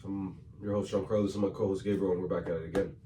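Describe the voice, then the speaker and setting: A man hosting a casual podcast, hoarse from a cold, loose and slangy, repeating himself as he talks. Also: low voice, flat delivery, racing. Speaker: podcast host. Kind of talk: casual chat. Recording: distant mic with faint room noise.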